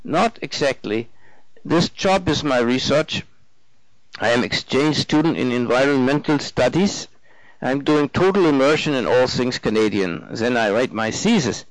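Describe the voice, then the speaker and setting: A man's voice: mid-range voice, expressive, unhurried, dry recording, natural voice, rough recording. A man voicing a nervous, earnest young German man in an audiobook, effusive and formally polite in slightly stilted English. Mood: eager, effusive. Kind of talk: audiobook character dialogue.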